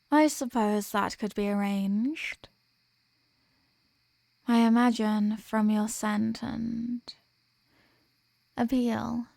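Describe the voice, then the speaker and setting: A woman's voice: on the high side, creaky, warm tone, no room sound, exaggerated voice. A woman playing a possessive, dominant vampire in an ASMR roleplay, speaking in a teasing, condescending manner with formal phrasing. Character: possessive, dominant female vampire toying with captive prey. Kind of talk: ASMR roleplay, scripted intimate monologue.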